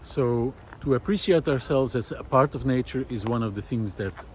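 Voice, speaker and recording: A man speaking a reflective, unscripted monologue, thoughtful and halting as he searches for words. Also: medium pitch. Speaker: host of a nature project podcast. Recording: outdoor city noise, wind, distant traffic. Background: faint city noise, wind, vehicles.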